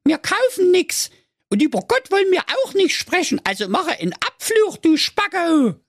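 A man voicing a rude, bratty young princess in a snappy, cheeky falsetto. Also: very animated, normal speed, no room sound, studio quality, exaggerated voice. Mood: snippy.

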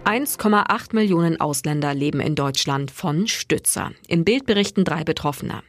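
A woman reads news items in a steady, clear voice. Her pitch sits a bit low. The studio sound is dry and close, with no room echo. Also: normal speed, faint music behind. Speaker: news reader. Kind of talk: scripted news narration.

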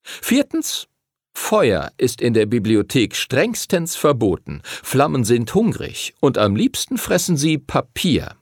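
A man's voice: medium pitch, wildly swooping pitch, slow, husky, no room sound, studio quality, voice pushed up, heavily acted. A man voicing a small, friendly library master in a storybook, solemn and instructive with a playful streak. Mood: strict, instructive.

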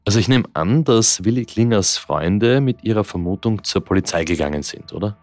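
Deep-voiced man recapping a case. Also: expressive, fairly quick, no room sound. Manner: recaps plainly for listeners. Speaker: podcast co-host. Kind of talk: scripted true-crime podcast talk.

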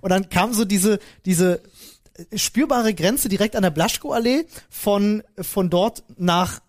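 A man with a notably high speaking voice, co-hosting a relaxed podcast. He is from Berlin and talks in long, rambling runs, breaking off and restarting his sentences as he goes. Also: slow. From Berlin, Germany. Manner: rambling, self-interrupting storyteller. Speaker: podcast co-host. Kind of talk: casual podcast banter.

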